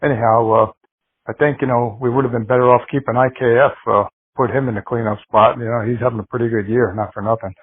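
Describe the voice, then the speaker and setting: A man's voice: medium pitch, fairly even intonation, fast, slightly rough, no room sound. A man leaving a rambling voicemail for a show, full of ums and you-knows. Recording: tinny phone-line voicemail.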